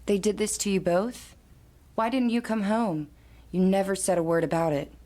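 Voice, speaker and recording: A woman's voice: medium pitch, steady tone, normal speed, dark tone, close to an everyday voice. A woman playing a stern, proud middle-aged mother who leads a tribe, speaking with authority in an audio drama. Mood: upset. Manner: commanding, authoritative.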